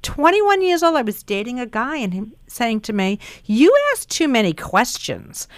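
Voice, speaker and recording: Chatty female radio host, smooth voice. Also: a little high, sing-song, normal speed, no room sound. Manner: chatty, musing, with fillers. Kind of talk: radio interview.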